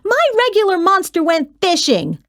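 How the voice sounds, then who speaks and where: A woman doing a high-voiced, earnest little boy. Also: wildly swooping pitch, moderate pace, a bit bright, full character voice. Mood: insistent. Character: young boy, nervous but picky and cheeky.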